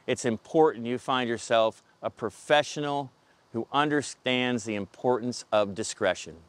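A man's voice: medium pitch, normal speed, a little hiss or hum. A man in his fifties with a mid-range voice speaks as a service professional giving prepared tips. His delivery is plain-spoken and instructional, addressed straight to camera. He is recorded outdoors with a clip-on lapel mic. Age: 50s. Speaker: pest control company representative. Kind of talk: scripted advice to camera. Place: outdoors in a parking lot beside a pickup truck.

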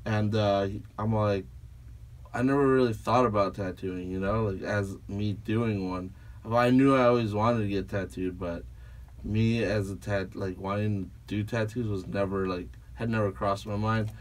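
Rambling tattoo-artist guest, slightly off-mic. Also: male voice, on the low side, steady tone, moderate pace, recorded from a distance. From Peru. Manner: rambling, full of likes and fillers. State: tired after a late night. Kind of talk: casual podcast conversation. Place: small foam-walled podcast studio. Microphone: studio mic on a boom arm, headphones on. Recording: voice a little off-mic.

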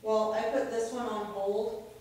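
A woman's voice: fairly high pitch, fairly even intonation, a little slow, darkish tone. A woman chats casually on a ghost investigation. She is a little far from the camcorder, in a very echoey room with faint noise. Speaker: paranormal investigator. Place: indoor room, away from the camera. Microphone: camcorder some distance away.

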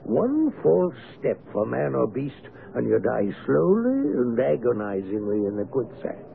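An actor playing an affable, eccentric naturalist neighbour with a sinister edge, chatty and courteous, in a radio drama. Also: male voice, medium pitch, huge pitch swings, measured pace, creaky, heavily acted. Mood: ominous. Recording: noisy phone-like vintage radio broadcast.

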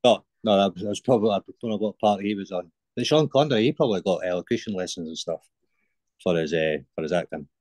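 A man's voice: deep voice, fast, a little mellow, no echo. A man in his forties with an Edinburgh Scottish accent, a podcast guest giving brief, plain-spoken answers over a video call. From Scotland. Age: 40s.

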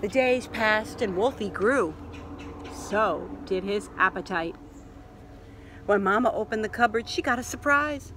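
A middle-aged woman reading a picture book aloud to children outdoors in an expressive way, pausing to ask questions. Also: medium pitch, background noise. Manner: expressive, asks listeners questions. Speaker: library story reader. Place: outdoors in a leafy yard.

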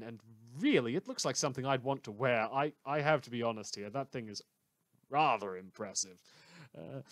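A man playing a morbidly amused radio host in a fan audio drama, rambling hesitantly with dark, wry mock concern. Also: mid-range voice, no echo, close to an everyday voice.

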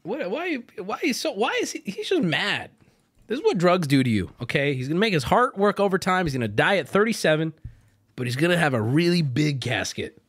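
A man streaming and reacting to a video, with crude, excitable joking commentary. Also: high voice, fairly quick, slightly rough. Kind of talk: casual live-stream commentary.

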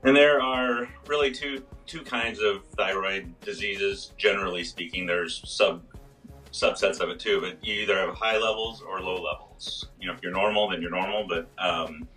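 A hoarse man in his fifties, a pharmacist explaining health topics on a video call, step by step with many fillers. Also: low voice, normal speed, pushed and bright, recorded from a distance, soft background music, low-quality recording. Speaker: pharmacist guest on a radio show. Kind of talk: casual health talk. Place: small room indoors.

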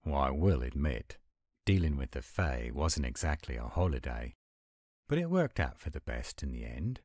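A man voicing an affectionate, gruff-but-sweet werewolf boyfriend in a tender, intimate romantic audio roleplay. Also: very deep voice, very animated, moderate pace, darkish tone, no room sound, studio quality, heavily acted. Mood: hopeful, affectionate.

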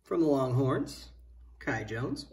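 A man in his thirties co-hosting a card-opening show, excitedly calling out each card he pulls in casual chat. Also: medium pitch, slow, distant mic. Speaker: co-host opening card packs. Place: indoor room at a table.

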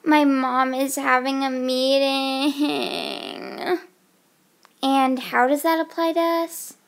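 Slangy, dramatic sleepover girl, voiced by a young woman, high and slow, amateur stop-motion. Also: level tone. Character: young girls planning a sleepover, casual and dramatic.

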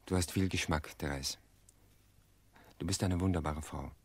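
A low, deep voice, close and dry, for a middle-aged widower in an audio drama. He speaks earnestly and wearily, weighing his words with reflective pauses. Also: breathy edge, no echo. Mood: warm, courteous. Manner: measured, reflective, hesitant pauses. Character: weary, earnest widower confessing to his sister-in-law. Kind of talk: radio play dialogue.

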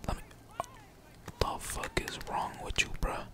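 A young man keeps up a casual, whispered commentary as he plays a game. His voice is all breath with hardly any tone behind it. He rambles in heavy slang and swears freely. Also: a little slow. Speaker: gaming streamer.

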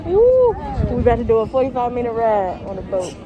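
A woman's voice: fairly high pitch, huge pitch swings, brisk, crowd noise. A young American woman chatting casually and slangily to viewers as she vlogs, her voice slightly muffled by a face mask. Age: young adult. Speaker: vlogger. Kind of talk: casual vlog chat to viewers. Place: outdoors, walking on city streets and waterfront. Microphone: phone camera held at arm's length. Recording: dull phone audio, outdoor street noise.

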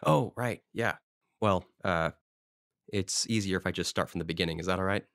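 A man's voice: sits low, moderate pace, fairly bright tone, no room sound, studio quality. A man playing an eager small-town historical society president in an audio drama, telling stories in an informal, rambling way. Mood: eager storytelling. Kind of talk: conversational audio drama monologue.

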